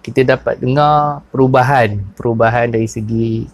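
Perak malay guy in his 30s, laid-back vlog chat, lots of uh and pauses, lapel mic in a small office. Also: medium pitch, no room sound. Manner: relaxed, many fillers and pauses. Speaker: sound technician. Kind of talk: casual explanatory vlog. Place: small cluttered office, indoors. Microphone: clip-on lapel mic.

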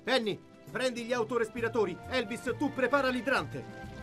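A man voicing a calm, dependable heroic firefighter in a children's cartoon, reassuring and decisive. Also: very high-pitched, normal speed, music in the background. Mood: urgent, commanding. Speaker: cartoon voice actor. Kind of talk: children's cartoon dub acting.

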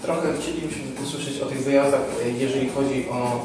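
A man's voice: medium pitch, fairly even intonation, a little fast. A man in his thirties asking conversational interview questions in a hesitant, wordy way. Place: indoor ski-shop cafe. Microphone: handheld microphone with foam cover. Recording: echoey room, distant sound, music behind.

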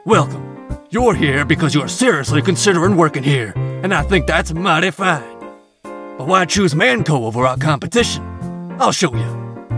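Cartoon recruiter engineer, male actor: Southern US, folksy hard-sell, cheerfully grim, higher-set male voice, music underneath. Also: big pitch swings, normal speed. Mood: folksy welcome. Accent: American South. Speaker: presenter. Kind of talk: parody corporate training film narration.

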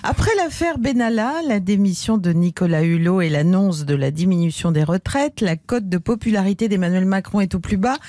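A woman's voice: mid-range voice, fairly quick, hardly any pauses, mellow, no room sound, studio quality. A woman radio presenter delivering a scripted introduction to a comedy sketch.

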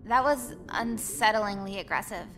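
A woman's voice: mid-range voice, normal speed, close to an everyday voice. A woman playing a blunt, jaded young female mall worker in a visual novel game, sarcastic and exasperated. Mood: disgusted, exasperated. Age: young adult. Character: sardonic, jaded teenage-to-twenties mall worker girl. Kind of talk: voiced visual novel dialogue. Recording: clear background ambience noise.

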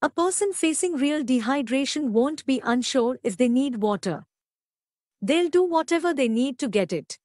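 A woman's voice: high-pitched, animated, moderate pace, darkish tone, dry recording, clean studio sound. A woman reading scripted practice passages aloud as a demonstration for an English test.

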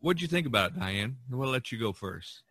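An American man hosting a podcast in casual chat, talking in a halting, folksy way. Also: mid-range voice, sing-song, pushed and bright, no echo. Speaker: podcast host.

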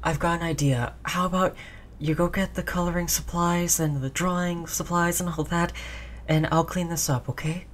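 A woman playing a gentle human who soothes their android companion in an intimate roleplay audio drama, tender and reassuring. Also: on the low side, fairly even intonation, normal speed, slight background noise, lightly acted. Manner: soothing, tender, hushed, repeating reassurances softly.

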